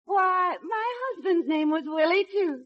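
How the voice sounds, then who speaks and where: A woman's voice: very high voice, expressive, a little slow. An actress playing a chatty, fussily polite middle-aged mother in a 1950s radio drama. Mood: chatty, hospitable. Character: chatty, fluttery, hospitable widowed mother. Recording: muffled old radio recording.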